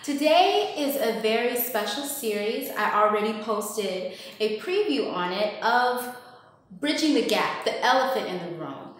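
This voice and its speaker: An upbeat woman host speaks straight to her viewers, welcoming them in. Her voice rings in a bright living room with a strong echo and a long reverb tail. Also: on the high side, measured pace. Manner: lively, welcoming, addresses viewers directly. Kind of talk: upbeat show introduction. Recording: echoey room.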